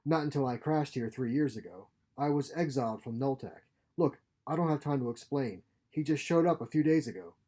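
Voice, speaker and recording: Guy doing a wry scheming scientist in a space audiobook, kinda theatrical, raspy soft tone. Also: mid-range voice, lively intonation, fairly quick, few pauses, close to an everyday voice. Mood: feigned panic. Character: exiled male scientist, wry, scheming, feigning desperation.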